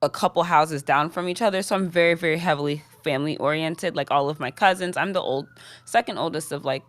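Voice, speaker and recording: A woman's voice: low-pitched, fast, no room sound. A young woman in her twenties from the UK, chatting as a guest in an interview, laughing often and filling with "like" and "um". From United Kingdom. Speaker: guest rapper. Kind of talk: casual interview chat.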